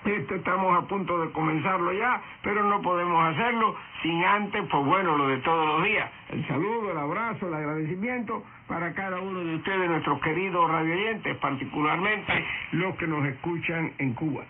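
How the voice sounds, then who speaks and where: A man's voice: high-pitched, moderate pace, a little hiss or hum. A man hosting a radio news program, addressing listeners in a scripted presenter's style. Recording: tinny shortwave radio broadcast with music behind. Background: music.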